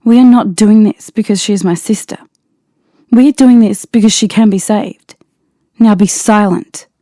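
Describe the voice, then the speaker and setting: A man's voice: high voice, moderate pace, dark tone, no echo, voice pushed up, lightly acted. A man voicing a regal female princess who gives cold, stern commands in an audiobook reading. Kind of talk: fanfic audiobook character voice.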